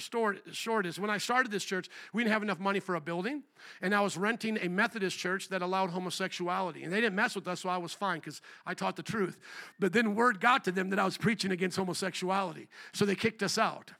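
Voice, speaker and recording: A middle-aged man from Indiana preaching a sermon as pastor, animated, rising to near shouts on rhetorical questions. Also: sits high. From Indiana, USA.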